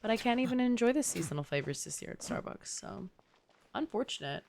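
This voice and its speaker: A woman's voice: a little high, lively intonation, brisk. A young woman chatting casually as she streams a game, rambling through conversational asides. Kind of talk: casual chatty livestream gaming commentary. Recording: clear background noise.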